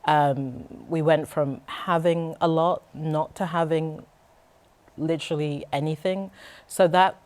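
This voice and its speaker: A woman with an exceptionally low voice and a London accent speaks as an interview guest in a studio. She comes across as reflective and candid, talking in a personal, conversational way. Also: fairly even intonation, slow pace, darkish tone. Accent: London British. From born in Cameroon, raised in London. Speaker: interview guest, nonprofit co-founder.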